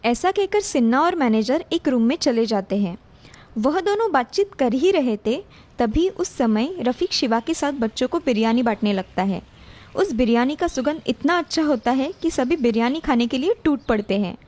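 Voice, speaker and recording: A woman's voice: sits high, expressive, normal speed, darkish tone. A woman reading a scripted children's story as narrator, with music behind her. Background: background music.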